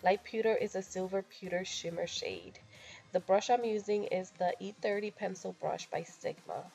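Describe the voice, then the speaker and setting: A woman's voice: mid-range voice, animated, moderate pace, gravelly, a little mellow, soft background music. A young woman with a nasal voice from a cold, talking casually through a makeup tutorial voice-over. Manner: casual, chatty, a little crude. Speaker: beauty vlogger.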